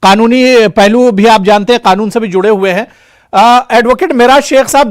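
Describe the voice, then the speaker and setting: A man with a very high, light voice moderates a televised debate. He keeps things moving with quick acknowledgments and pointed questions to his guests. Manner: interjects with steady short acknowledgments. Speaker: debate show host.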